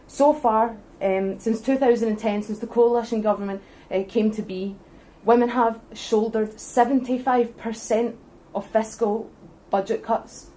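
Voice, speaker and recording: A young Scottish woman giving an earnest, forceful speech at a public debate, building her case point by point. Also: a little high, fairly even intonation, unhurried, hardly any pauses, rough recording. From Scotland. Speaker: debate speaker for independence.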